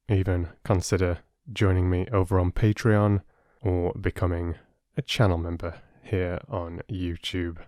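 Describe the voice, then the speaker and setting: A man with a lowish voice and a very unhurried pace, speaking as a storybook narrator and host. He is playful and expressive, and the sound is dry and close. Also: no room sound. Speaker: audiobook narrator and channel host. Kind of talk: storybook narration and casual chat to listeners.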